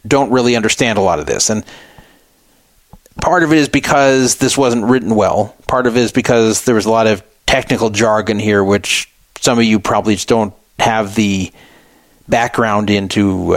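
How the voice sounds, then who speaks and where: A man's voice: fairly low pitch, dry recording. A man hosting a radio show, reading out and explaining things in a conversational, explanatory way. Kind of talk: talk radio commentary, reading out and explaining a statement.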